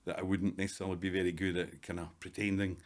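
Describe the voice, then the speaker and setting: A Scottish man in his fifties, a hairdresser guest in a casual interview, talking in a dry, self-deprecating, measured way. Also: low voice, flat delivery. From Scotland. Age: 50s.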